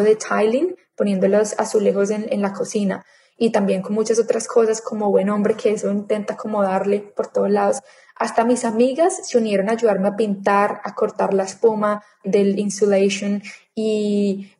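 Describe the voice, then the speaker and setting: A woman in her thirties telling her own story in a casual interview, chatty and animated, acting out her past thoughts as quotes. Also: medium pitch. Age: 30s. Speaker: interview guest.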